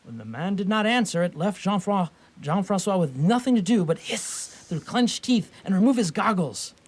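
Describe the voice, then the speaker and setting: A man playing a wry storyteller, narrating a scripted radio theatre story in a measured way. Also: high-pitched, lively intonation. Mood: wry narration.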